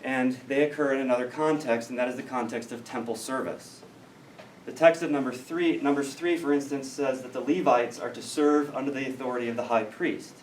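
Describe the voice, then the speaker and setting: A man's voice: medium pitch, fairly even intonation, fairly quick, distant mic. American male scholar, mid-range voice, dry explanatory lecture delivery; roomy recording with faint noise. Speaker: academic lecturer in biblical studies. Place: lecture room.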